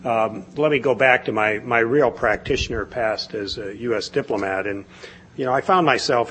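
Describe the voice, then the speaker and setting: A man's voice: fairly low pitch, a bit bright, slight background noise. A man who is a diplomat speaking on a conference panel in a conversational way, with light asides. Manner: conversational, self-deprecating asides.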